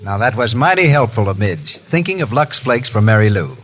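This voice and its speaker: A man's voice: lowish voice, sing-song, fairly quick, a bit bright, lo-fi sound. A man announcing in scripted 1930s radio advertising style on a vintage broadcast.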